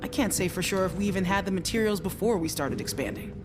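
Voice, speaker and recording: An actress playing a blunt, easygoing guard in a video game, speaking matter-of-factly. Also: female voice, on the low side, quick pace, music in the background, lightly acted. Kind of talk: naturalistic video game acting.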